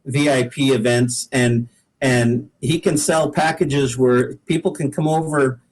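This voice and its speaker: A man's voice: medium pitch, steady tone, a little slow, darkish tone, low-quality recording. An American man from the Pacific Northwest, a guest singer-songwriter, chatting casually in a folksy, rambling way and repeating words as he goes. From United States, Pacific Northwest. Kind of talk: casual podcast chat.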